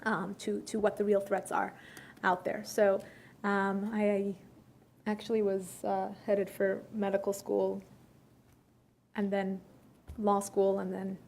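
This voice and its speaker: A woman's voice: medium pitch, completely flat delivery, vocal fry, darkish tone. Woman speaking thoughtfully at a luncheon. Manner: measured, with many filler pauses and restarts. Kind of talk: conversational Q&A talk. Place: luncheon room.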